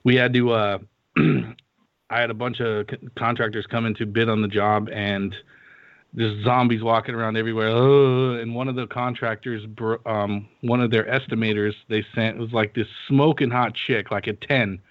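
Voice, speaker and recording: A man's voice: mid-range voice, little pitch movement, moderate pace, no echo. Teasing man, dry dull sound. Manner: crude, teasing banter. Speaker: co-host. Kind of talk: casual podcast chat.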